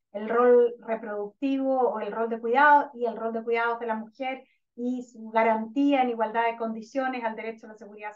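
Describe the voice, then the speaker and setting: A woman moderating a virtual panel, hesitant and full of restarts. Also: on the high side. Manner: hesitant, many fillers and restarts. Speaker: webinar moderator. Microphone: video call. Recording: distant, dull lo-fi call audio.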